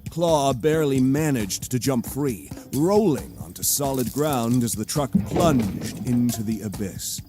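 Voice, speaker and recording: A man delivers dramatic scripted narration. His mid-pitched voice rises and falls expressively in a theatrical way, with a cinematic score clearly behind it. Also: lively intonation, a little slow, hardly any pauses. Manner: theatrical storytelling. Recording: cinematic music bed behind.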